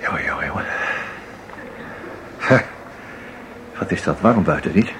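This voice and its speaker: A man's voice: deep voice, animated, measured pace, fairly bright tone. A man acting in a Dutch radio play as a chatty, flustered ordinary art lover who rambles hesitantly and repeats himself. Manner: hesitant, rambling, full of repetitions and tag questions. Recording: audible background noise.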